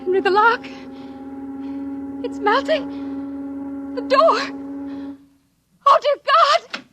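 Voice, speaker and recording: A woman's voice: very high voice, lively intonation, drawn-out words, heavily acted. A woman acting as an anxious suburban mother in a melodramatic 1950s radio drama, firm and urgent. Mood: terrified. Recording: noisy vintage radio broadcast recording.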